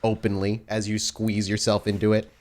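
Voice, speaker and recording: Deep-voiced male game storyteller. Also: completely flat delivery, moderate pace, darkish tone. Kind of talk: descriptive tabletop game narration.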